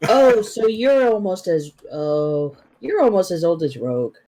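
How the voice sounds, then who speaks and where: A woman in her thirties chatting casually as a guest on a live stream, blunt and animated, often cutting in on the others. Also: on the low side, a little slow. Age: 30s. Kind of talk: casual chat.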